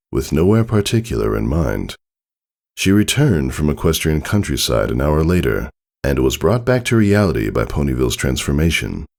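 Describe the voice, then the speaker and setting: A man's voice: rumbling low, creaky, a little mellow. A man reading a story aloud as narrator, in a scripted storybook style. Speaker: narrator, fan fiction reader.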